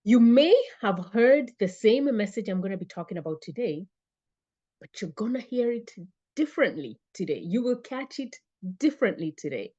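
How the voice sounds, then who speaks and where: A woman hosts a live online class, upbeat and chatty as she welcomes viewers by name. Her voice sits at a middling pitch and comes through a computer mic on a video call. The audio is a little dull. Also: animated, normal speed, warm tone. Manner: upbeat, greets viewers by name, repeats phrases. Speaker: webinar host and fitness coach.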